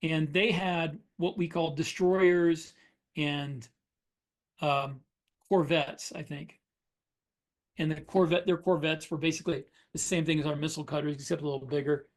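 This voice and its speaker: A man's voice: a little high, fairly even intonation, moderate pace. A man co-hosting a podcast, chatting casually and explaining his writing in a matter-of-fact way with examples. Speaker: podcast co-host, science fiction author. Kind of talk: casual chat about writing craft.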